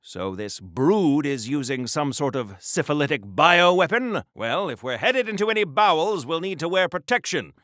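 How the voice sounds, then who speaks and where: An old-fashioned video game superhero, earnest and a little awkward. His voice sits fairly high and swings widely in pitch, with a bright, forceful ring. He chatters primly in quaint mid-century exclamations, recorded close and dry. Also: expressive, moderate pace, hardly any pauses, no echo. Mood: earnest, chatty. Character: earnest, awkward, prudish old-time golden age superhero.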